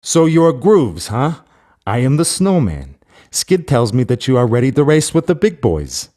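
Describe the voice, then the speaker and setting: A man playing a cold, menacing crime boss in a racing video game, speaking deliberately with veiled threats. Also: mid-range voice, sing-song, warm tone. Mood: threatening. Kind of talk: video game character dialogue.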